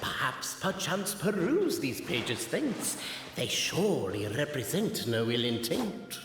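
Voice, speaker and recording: An actor playing an excitable, grandiose bard-like clan historian in a fantasy video game, in an echoey hall. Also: male voice, medium pitch, slow pace, noisy background. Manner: grandiose, declaiming. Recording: echoey hall reverb with music.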